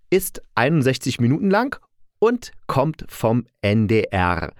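A man's voice: fairly low pitch, a little mellow, no room sound. Man reviewing in a dry studio sound. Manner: chatty, self-correcting. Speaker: podcast host and audio drama reviewer. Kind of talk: informal spoken review.

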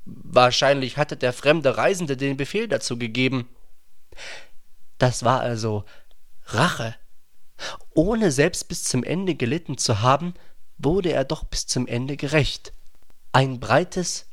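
A man's voice: mid-range voice, animated, slow pace, long pauses. A man narrating a radio play from a literary script.

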